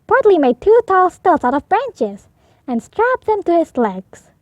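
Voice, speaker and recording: High-voiced, animated woman storyteller. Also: measured pace, dry recording, dull audio. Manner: expressive storytelling. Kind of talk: children's picture book read-aloud.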